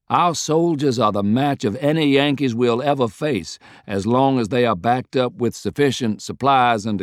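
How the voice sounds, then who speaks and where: A man voicing a shrewd, persuasive Confederate statesman in an audiobook, speaking in a deliberate, eloquent way. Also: mid-range voice, no room sound, studio quality, lightly acted. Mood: confident. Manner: deliberate, articulate, rhetorical.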